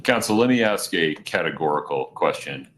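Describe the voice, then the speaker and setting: A man presiding as judge at a court hearing, asking measured, deliberate questions. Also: a bass voice, expressive, a bit bright, a little hiss or hum.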